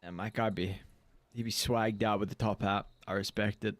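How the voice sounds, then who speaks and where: A man's voice: on the low side, steady tone, normal speed, a bit bright, no echo. A man in his mid-twenties casting an esports match, playful and slangy, laughing easily as he talks. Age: 20s. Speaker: esports caster. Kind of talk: casual esports commentary.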